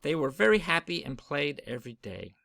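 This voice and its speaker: A man's voice: a little high, sing-song, fairly quick, vocal fry, fairly bright tone, no echo, clean studio sound. A man narrating a children's story, read aloud from a storybook.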